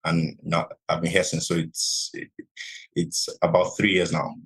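A young man from Nigeria, a podcast guest and real estate investor, answering in a measured conversational way with many "you know" fillers. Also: sits low, level tone, gravelly, fairly bright tone, breathy edge, poor audio.

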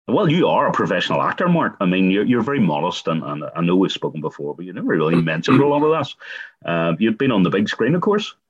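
A man's voice: low-pitched, animated, fast, husky, dull audio. A man with a Northern Irish accent hosting community radio and interviewing in a conversational style. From Northern Ireland.

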